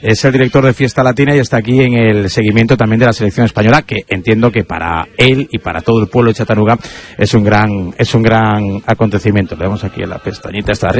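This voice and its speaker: Man, radio host, rapid delivery, chatty tag questions, live on location. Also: lowish voice. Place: on location, remote broadcast.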